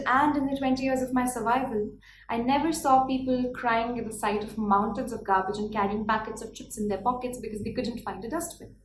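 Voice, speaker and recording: A woman of twenty with an Indian accent, delivering a prepared, earnest speech full of rhetorical questions. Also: on the high side. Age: 20s. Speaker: speaker. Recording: echoey room, faint background noise.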